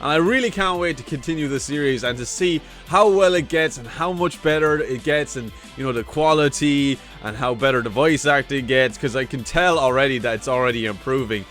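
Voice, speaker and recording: A man's voice: mid-range voice, brisk, few pauses, fairly bright tone, faint music behind. A man hosting a reaction video in casual, enthusiastic, informal chat.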